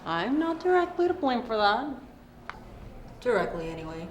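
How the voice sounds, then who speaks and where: A woman's voice: on the high side, big pitch swings, normal speed, muffled recording. A young woman acting a self-assured femme fatale novelist in a comedy-drama, breezy and self-important. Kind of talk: acted dialogue in a comedy-drama web series. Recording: roomy sound with faint background noise.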